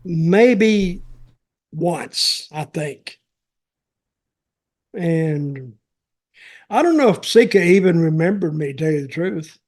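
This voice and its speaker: A man's voice: on the high side, expressive, takes it slowly, long pauses. An older man telling anecdotes casually, in a rambling, folksy and wry way. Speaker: podcast host, former wrestling performer.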